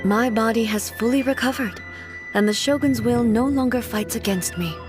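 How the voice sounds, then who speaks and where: A woman's voice: a little high, normal speed, music in the background, natural voice. A woman playing a reserved, earnest immortal ruler in a video game, speaking formally and with restraint. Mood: reflective. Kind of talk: English video game voice acting.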